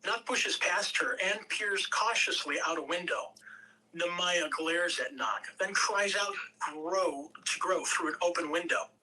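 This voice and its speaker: A woman reading stage directions as narrator at a table read, measured, with occasional stumbles over words. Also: on the low side, sharp tone, distant mic, rough recording.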